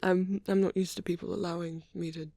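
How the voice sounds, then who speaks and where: A performer voicing a shy, bashful, nerdy young male vampire boyfriend in a soft, hesitant, rambling ASMR roleplay. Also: mid-range voice, brisk, creaky, no room sound. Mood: bashful, flustered. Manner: hesitant, stammering, apologetic, excitable when rambling.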